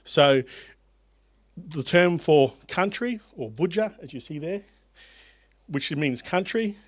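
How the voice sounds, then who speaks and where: A man's voice: a little high, dry recording, studio quality. A man from North Queensland presenting as a university director at an academic conference, speaking hesitantly with many fillers and restarts. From North Queensland, Australia.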